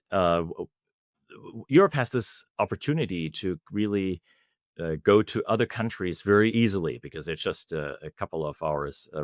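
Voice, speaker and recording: A middle-aged man with a German accent, speaking in an unusually deep, low register. He answers interview questions in a measured, explanatory way. The recording is close and dry, with no room sound. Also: no room sound. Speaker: guest, company founder.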